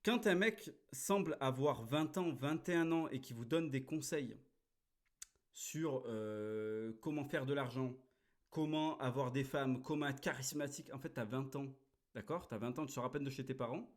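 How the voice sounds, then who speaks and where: A man's voice: mid-range voice, normal speed. A man in his thirties hosting a casual reaction chat, blunt and crude, brushing off his critics. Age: 30s. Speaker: host reacting to a video.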